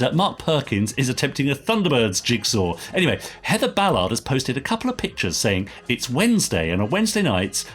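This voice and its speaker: A British man co-hosting a podcast, reading out listener messages in a chatty, enthusiastic way. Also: mid-range voice. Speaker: podcast co-host.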